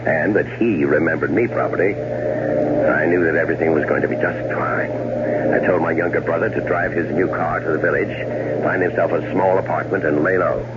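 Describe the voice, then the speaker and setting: An actor playing a cold, scheming older brother in a 1970s radio drama, measured, sly and theatrical. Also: male voice, very deep voice, fairly even intonation. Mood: smug, calculating. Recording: muffled, noisy 1970s radio recording.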